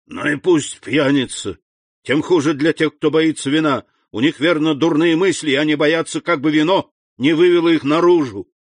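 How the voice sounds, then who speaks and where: A man voicing a boisterous, good-natured drunk in a storybook, with a loud drunken voice. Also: a little high, normal speed, no echo, pitched above the performer's own voice, natural voice. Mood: merry defiance. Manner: boisterous, exclaiming.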